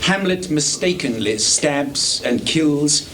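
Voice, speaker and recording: A man's voice: a little high, lively intonation, slow. A man giving scripted dramatic narration between scenes of a stage performance. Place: small theatre stage in Tokyo. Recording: noisy 1980s live theatre recording.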